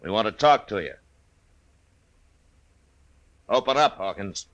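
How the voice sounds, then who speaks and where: An American actor playing a stern, weary, steady Old West marshal in a 1950s radio western. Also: male voice, on the low side, quick pace. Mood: commanding.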